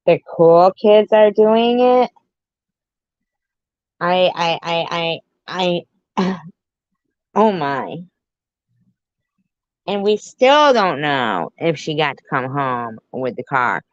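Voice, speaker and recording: A woman's voice: fairly low pitch, animated, extremely slow, long pauses, no echo, muffled recording. A woman doing sarcastic reaction commentary on a YouTube video, with mocking asides.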